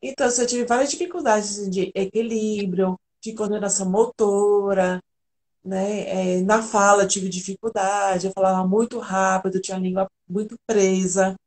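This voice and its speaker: A woman's voice: medium pitch, fairly even intonation, moderate pace, a little mellow. A woman from Argentina, an integrative therapist, telling her personal story earnestly and candidly as a guest on a live video call.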